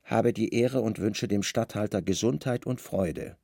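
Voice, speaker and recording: A stern, cunning commander of a Roman secret service, acted by a man for radio. The voice is low and creaky in a dry recording, formal and deferential toward his superior. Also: measured pace, mellow, no echo. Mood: devoted, stern. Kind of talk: radio play dialogue.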